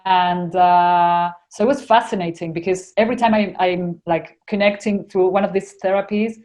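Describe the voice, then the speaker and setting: A woman's voice: lowish voice, fairly even intonation, normal speed, a little mellow, faint music behind. A young woman with an Argentinian accent, telling her story as a podcast guest in casual conversation, full of fillers and restarts. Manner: chatty, many fillers and restarts.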